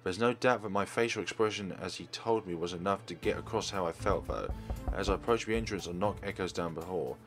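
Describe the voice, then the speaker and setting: A man's voice: sits low, fairly quick, a bit bright, soft background music. A man reading visual novel narration aloud as a let's player.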